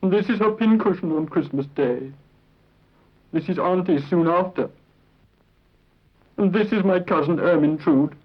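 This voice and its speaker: Rueful, higher-voiced British nephew. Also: male voice, measured pace, pauses often. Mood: rueful, dry. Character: rueful, deadpan nephew who lost his aunt's inheritance. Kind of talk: scripted first-person film narration, 1940s. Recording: dull vintage film soundtrack.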